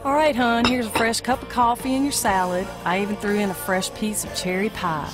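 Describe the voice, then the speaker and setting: A woman's voice: medium pitch, fairly even intonation, a little fast, natural voice. A woman playing a brassy, folksy small-town diner waitress in an amateur audio play, with blunt, informal talk. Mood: chatty, folksy. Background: music.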